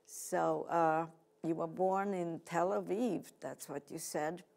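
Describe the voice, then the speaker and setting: A woman with a Hungarian accent hosts a talk show in a chatty, gushing way. Her voice is very low for a woman and carries a gravelly fry. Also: steady tone, slow, darkish tone. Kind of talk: casual interview chat.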